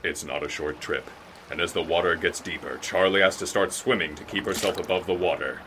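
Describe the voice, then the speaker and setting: An actor playing a genial, folksy narrator, delivering scripted radio drama narration in a theatrical way. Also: male voice, deep voice, a little fast, background noise, lightly acted. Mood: storytelling.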